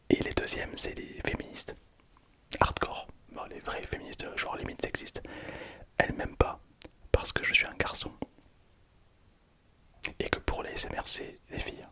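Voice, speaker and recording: A man's voice: unhurried, long pauses, bright, forceful tone. A young man whispering playfully through an ASMR video, reading comments aloud in casual slang and laughing often. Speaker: ASMR content creator.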